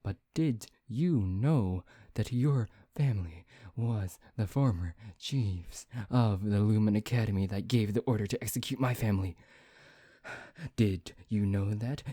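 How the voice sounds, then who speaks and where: A man playing a brooding, taunting vampire in an ASMR roleplay, speaking in a theatrical, deliberate, teasing way. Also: fairly low pitch, mellow, no room sound, studio quality. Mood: reproachful. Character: brooding, taunting male vampire bent on revenge. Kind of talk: ASMR roleplay, soft dramatic acting.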